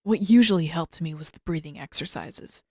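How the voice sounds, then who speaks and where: A woman's voice: low voice, normal speed, mellow, breathy edge, no room sound, voice pushed down. A woman voicing a guarded, grumpy teenage prince in a fantasy story, terse and dry in manner. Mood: guarded, awkward.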